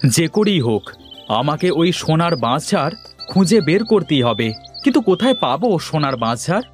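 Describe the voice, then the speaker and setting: A man voicing a poor, earnest village father in a cartoon fairy tale, exclaiming and expressive. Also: fairly high pitch, moderate pace, faint music behind. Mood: determined, weary.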